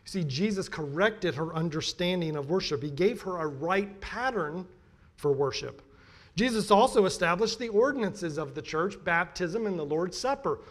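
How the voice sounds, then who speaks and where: A middle-aged American man giving a sermon as a pastor, emphatic and deliberate in his delivery. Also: high-pitched, animated. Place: church pulpit, indoors.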